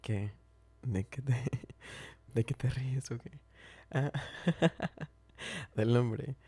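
A man playing a smitten, tender and teasing best friend in an ASMR roleplay, speaking softly and hesitantly, often whispering and repeating himself. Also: mid-range voice, very slow, heavily acted. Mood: gently teasing. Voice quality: soft, breathy, often whispering. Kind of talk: ASMR roleplay, intimate chat.

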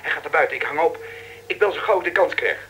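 An actor playing an eager, excitable field reporter in a radio drama, confiding down a telephone line. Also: male voice, fairly high pitch, fast, a little hiss or hum.

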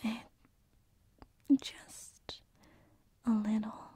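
A woman playing a shy, affectionate female demon in an ASMR roleplay, speaking softly and hesitantly, often whispering, with a breathy voice. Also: fairly high pitch, monotone, very slow, dry recording, exaggerated voice. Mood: hesitant, gentle.

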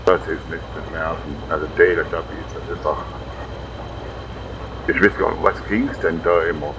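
A man's voice: sits low, normal speed. A middle-aged man chatting casually as a guest co-commentator on a gaming let's play. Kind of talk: casual chat. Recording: noisy, steady fan or vehicle hum.

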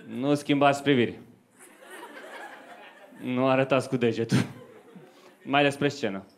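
A man's voice: medium pitch, steady tone. A young man performing stand-up comedy in a conversational storytelling manner into a handheld microphone on a club stage. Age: young adult. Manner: conversational storytelling to a live audience. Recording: faint noise, live club stage recording.

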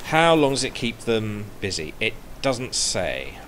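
A man's voice: on the low side, sing-song, a little slow, fairly bright tone, a little hiss or hum, poor audio. A man in his thirties chatting to himself as he plays a game, on a headset mic. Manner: chatty, talks to himself while playing. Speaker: streamer. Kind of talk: casual gameplay commentary. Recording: game music under the voice.

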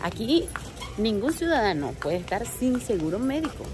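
A woman's voice: on the high side, very animated, moderate pace. A woman talking casually and playfully to her viewers in a family vlog, speaking from inside a store. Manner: chatty, playful, addresses viewers directly. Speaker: family vlogger. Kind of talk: casual vlog chat to camera. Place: indoor store pharmacy area. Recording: music playing in background.

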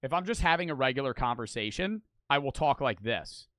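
A young man streaming casually, talking fast and animated with lots of swearing, close to the mic. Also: mid-range voice, no room sound.

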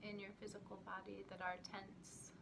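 A woman leading a guided meditation in a soothing, gentle manner, leaving long pauses between short phrases. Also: mid-range voice, flat delivery. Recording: clearly noisy recording with ambient sound.

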